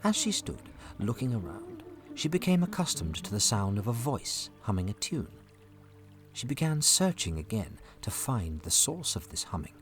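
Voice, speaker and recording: A man's voice: on the low side, big pitch swings, normal speed. A man narrating a family audio adventure in a scripted storybook style. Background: music under the voice.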